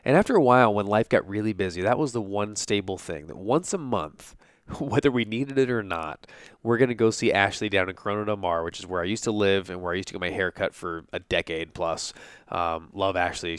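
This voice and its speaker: A man in his thirties hosting a podcast, telling a personal story with a stuffy nose and a tiring voice, halting with restarts and fillers. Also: on the low side, smooth, no echo, clean studio sound. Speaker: podcast host.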